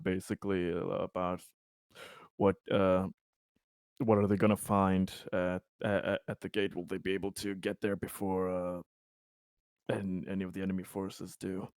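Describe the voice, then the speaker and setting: A man playing a tabletop game, with a low voice. He hesitates often, filling gaps with "uh." The sound is dry. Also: level tone, moderate pace, no echo. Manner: hesitant, lots of "uh". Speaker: player. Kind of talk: casual tabletop play.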